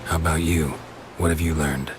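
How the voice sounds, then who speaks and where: An actor playing a gruff, weary monster hunter in a video game, terse, deadpan and gravelly, with clipped delivery. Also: male voice, rumbling low, moderate pace. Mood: questioning. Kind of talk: video game cutscene acting. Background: music.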